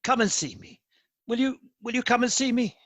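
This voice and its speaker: A man playing a reformed elderly miser in a radio play, an effusive and excitable old gentleman with a husky voice. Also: very high-pitched, moderate pace, no echo. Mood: giddy gratitude. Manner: effusive, chuckling, stammering with excitement.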